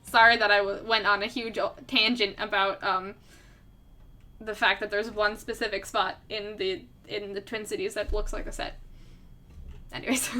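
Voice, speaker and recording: Bright-voiced young woman, rambling chat. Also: medium pitch. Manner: rambling, full of "like" fillers, laughs often. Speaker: artist streamer. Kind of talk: casual livestream chat with viewers. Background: faint background music.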